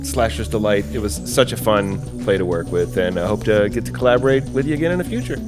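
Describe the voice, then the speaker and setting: A man's voice: lowish voice, lively intonation, fast. Male podcast host over music. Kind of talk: podcast hosting and sign-off.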